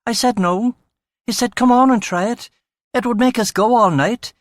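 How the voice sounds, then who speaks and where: A feisty young West Belfast woman, put on by a male narrator. The voice is pitched well up and blunt, with a harsh, indignant edge, as a character in a crime audiobook. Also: normal speed, no room sound. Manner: blunt, breathless storytelling, harsh.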